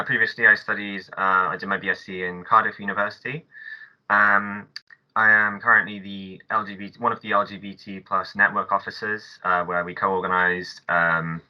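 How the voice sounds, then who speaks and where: A man in his twenties introducing himself as a student candidate, hesitant and halting. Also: low voice, muffled recording. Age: 20s. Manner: hesitant, with many fillers and restarts. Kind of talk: casual self-introduction.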